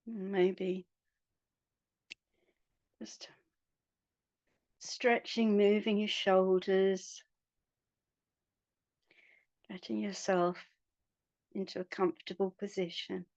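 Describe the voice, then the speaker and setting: A woman guiding a meditation in a soothing, hushed manner, leaving long pauses between phrases. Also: mid-range voice, little pitch movement, dry recording, dull audio. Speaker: meditation guide. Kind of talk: guided meditation.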